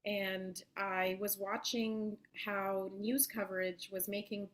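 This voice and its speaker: A woman in her thirties, a journalist, giving a thoughtful and articulate account of her path into her work, with soft fillers. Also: mid-range voice, level tone, slow pace. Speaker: guest journalist. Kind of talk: reflective interview answers.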